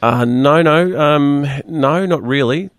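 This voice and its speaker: A man hosting a technology radio show, chatting casually with a croaky, hoarse voice and rambling on animatedly. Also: fairly high pitch, no room sound. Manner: rambling, animated, quick-talking.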